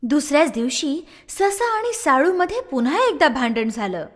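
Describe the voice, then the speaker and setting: A woman narrates a children's story in a high voice with lively swings. A long reverb hangs on her words. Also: moderate pace.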